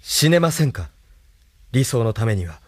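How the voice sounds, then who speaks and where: An actor playing an earnest, defiant young idealist hero in an audio drama. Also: male voice, medium pitch, very animated, normal speed. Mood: earnest, questioning. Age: young adult. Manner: earnest, questioning.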